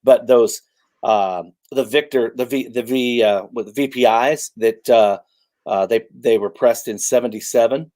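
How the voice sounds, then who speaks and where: A man talking casually as a guest collector, halting and restarting, friendly and agreeable. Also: mid-range voice, darkish tone. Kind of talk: casual chat about vinyl records.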